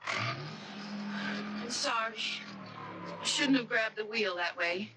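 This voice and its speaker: An actress playing an eager, affectionate, impulsive young fiancée in a 1970s style radio drama, breezy and coaxing. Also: female voice, medium pitch, animated, slow, noisy background. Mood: apologetic. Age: young adult.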